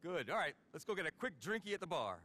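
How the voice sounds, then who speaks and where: A slick, excitable club manager from a video game. His pitch leaps all over the place in a bright, forceful tone. He talks in a theatrical, gushing way, with faint noise behind him. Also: fast talker. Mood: slick, brisk. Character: flamboyant, excitable male nightclub manager, slick and shady. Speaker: nightclub manager. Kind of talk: video game cutscene acting.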